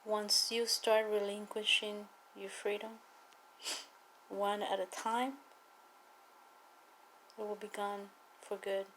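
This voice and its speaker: A woman from Cuba with a Cuban accent, a native Spanish speaker, giving an earnest, emphatic personal testimony and political appeal with deliberate repetition. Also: medium pitch, flat delivery, a bit bright, slight background noise, rough recording. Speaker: political activist speaking to viewers.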